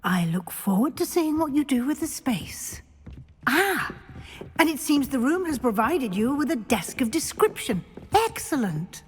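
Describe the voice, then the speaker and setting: A kindly female professor of magic, speaking in a reverberant hall. Her voice is fairly high and breathy, very expressive, and she instructs in an articulate, encouraging way for a fantasy game. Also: unhurried, heavily acted. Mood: encouraging, instructive. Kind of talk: video game character acting.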